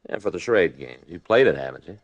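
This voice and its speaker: A man's voice: deep voice, lively intonation, fast talker, no room sound. An American man playing a grumbling, petty police detective in a 1950s radio drama, peevish, defensive and fussy. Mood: aggrieved. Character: grumbling, petty, sore-loser male police detective partner. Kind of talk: 1950s radio acting, naturalistic banter.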